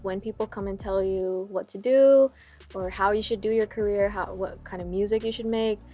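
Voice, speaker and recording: A young woman from Vietnam, a singer talking about her music in a casual interview, with a relaxed, hesitant way of speaking full of fillers. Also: mid-range voice, normal speed. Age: young adult. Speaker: singer guest.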